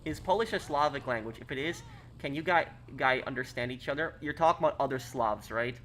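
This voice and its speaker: A man's voice: medium pitch, brisk, a bit bright, slight background noise, rough recording. American man chatting on a live stream. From United States. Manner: reads out and answers chat questions, many fillers and restarts. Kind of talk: casual live-stream chat.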